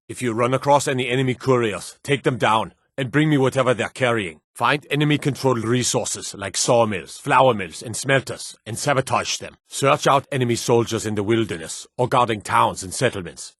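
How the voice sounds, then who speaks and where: Man acting as a gruff fantasy soldier guard. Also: medium pitch, fairly bright tone, no echo, clean studio sound, natural voice. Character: stern male soldier guard in a fantasy war. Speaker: video game character voice. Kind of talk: scripted fantasy game dialogue barks.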